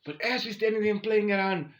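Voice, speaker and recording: A forty-year-old South African man casually telling a personal story to his viewers in an expressive, chatty way. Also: sits high, fast talker. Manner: expressive, chatty, acts out quoted exchanges. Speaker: vlogger.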